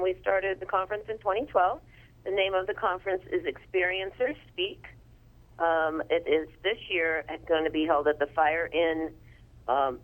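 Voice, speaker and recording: A woman speaking over a telephone line as an interview guest, talking casually and informatively about what she organizes. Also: fairly low pitch.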